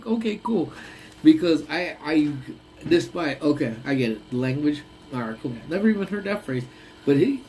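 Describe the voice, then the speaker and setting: A man's voice: medium pitch, a little mellow, a little hiss or hum, low-quality recording. A young man hosting a casual lunch-break live stream, rambling and laughing often, with a voice that sounds congested. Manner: rambling, laughs often, trails off mid-thought.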